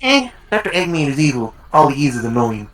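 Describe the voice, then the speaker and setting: Guy doing a brash impatient teen cartoon hedgehog, pitch jumping all over. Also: sing-song, fairly quick, fairly bright tone, reverberant. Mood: dismissive.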